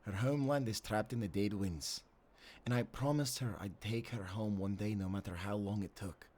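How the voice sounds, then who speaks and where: A man's voice: lowish voice, normal speed, darkish tone, husky. Man acting an earnest seasoned sailor telling his tale. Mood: wistful, solemn. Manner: earnest storytelling, archaic nautical turns of phrase. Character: seasoned old sailor and adventurer, devoted, nostalgic. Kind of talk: cinematic audio drama acting.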